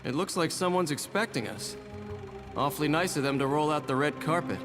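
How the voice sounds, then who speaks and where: Man as a cocky higher-pitched roguish sidekick. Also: a little fast, natural voice. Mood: glib, defensive. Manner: glib, wisecracking. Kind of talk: video game cutscene acting. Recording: reverberant, music underneath.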